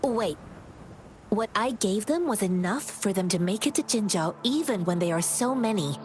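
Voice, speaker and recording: An actress voicing a calm, kind young woman wanderer in a game, measured and fond of proverbs. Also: medium pitch, slight background noise, natural voice. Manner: serene, measured, speaks in proverbs. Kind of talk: video game voice acting. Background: music.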